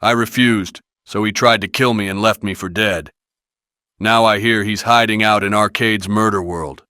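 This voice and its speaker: A man's voice: on the low side, steady tone, moderate pace, pushed and bright, no echo, clean studio sound. A man voicing a cocky, streetwise hero in a plain-spoken game monologue. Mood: wry resolve. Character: cocky, streetwise male hero recalling his past. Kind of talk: fan-made game character monologue.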